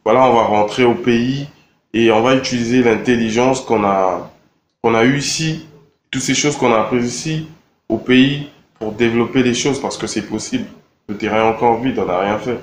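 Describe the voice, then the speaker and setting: A young man from Congo answering interview questions in a relaxed, halting way, with many fillers and repeated words. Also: medium pitch, slight background noise. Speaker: rapper interviewed. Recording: echoey room with faint ambience.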